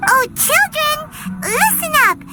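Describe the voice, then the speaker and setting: A woman's voice: very high-pitched, sing-song, slow pace, full character voice. A woman voicing a tiny floating female companion creature who is fussy, chatty and refers to herself in third person. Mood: worried, coaxing. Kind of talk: video game cutscene voice acting. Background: game music.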